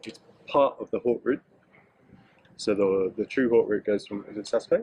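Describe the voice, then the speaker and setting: A man's voice: fairly low pitch, moderate pace, mellow, slightly rough. A middle-aged English man chatting casually in an interview, joking and hesitating with fillers. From England. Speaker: interviewee, mountain bike guide.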